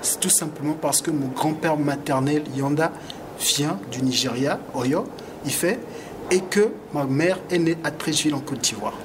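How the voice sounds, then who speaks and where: A man speaks in a slightly higher register, earnest and animated, stumbling over repeated words as he reaches for his point. Steady background noise sits under the recording. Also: normal speed. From Togo. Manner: earnest, animated, with frequent repetitions and restarts. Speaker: rapper and activist guest. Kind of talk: conversational interview answers.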